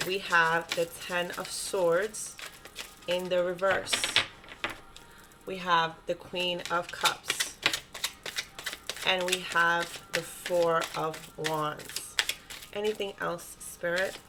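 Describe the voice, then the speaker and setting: A woman with a stuffy, congested nose giving a gentle, reassuring spiritual tarot reading and often checking in with her listeners. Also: lowish voice, flat delivery, extremely slow, long pauses, very noisy. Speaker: tarot reader.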